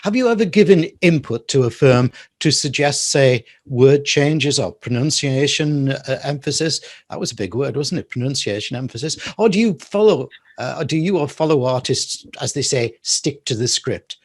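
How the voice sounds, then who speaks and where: A man's voice: mid-range voice, expressive, normal speed. A man hosting a business interview show, asking questions in a chatty way with tag questions and light asides.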